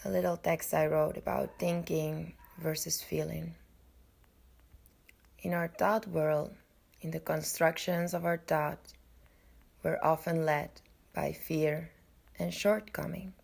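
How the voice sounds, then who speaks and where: Very slow, low-pitched woman guiding meditation. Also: fairly even intonation, creaky, a little mellow, husky. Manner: soothing, unhurried, with long pauses between short phrases. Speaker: podcast host and yoga nidra guide.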